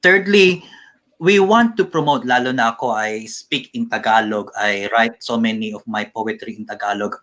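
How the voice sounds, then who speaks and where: A man's voice: medium pitch, moderate pace, fairly bright tone. A middle-aged man from the Philippines, a poet speaking earnestly in a video-call interview, mixing Tagalog and English. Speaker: poet and guest. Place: home room with bookshelves.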